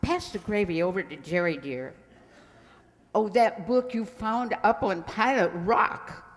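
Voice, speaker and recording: A woman's voice: mid-range voice, measured pace, natural voice. A woman playing a fluttery, chatty, indignant lady librarian in an old-time style radio mystery, fussy and dramatic. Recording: reverberant hall.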